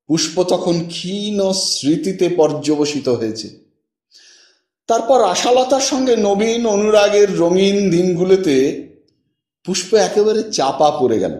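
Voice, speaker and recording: A man reading a literary story aloud as an audiobook narrator, with measured, dramatic phrasing and deliberate pauses. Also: high-pitched, expressive, a little mellow. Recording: roomy echo, distant from microphone.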